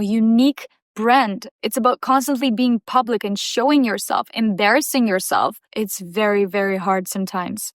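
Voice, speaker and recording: A woman's voice: mid-range voice, dry recording, studio quality. Emphatic young woman, Russian native. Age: young adult. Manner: emphatic, repeats phrases for effect. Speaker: vlogger. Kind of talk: motivational talk to camera.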